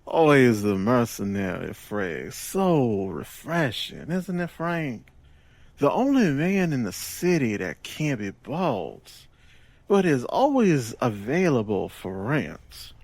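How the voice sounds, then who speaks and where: Give the floor to a courteous, menacing gangster boss played by a man in an audio drama. He speaks slowly in florid, formal phrases, his pitch gliding widely. The sound is close and dry. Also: sing-song, gravelly, a little mellow, dry recording, exaggerated voice. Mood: mock courteous. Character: smooth, theatrical male gangster boss, mock-courteous.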